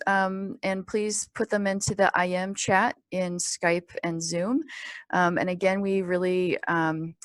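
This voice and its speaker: A woman presenting a training webinar in an informal, explanatory way, with a halting, pause-filled delivery. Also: fairly low pitch, gravelly, no room sound. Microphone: conference call or webinar microphone.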